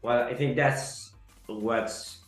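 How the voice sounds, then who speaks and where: A man from Ecuador, giving an earnest, halting testimonial interview full of fillers. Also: medium pitch, steady tone. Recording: echoey room, soft music behind.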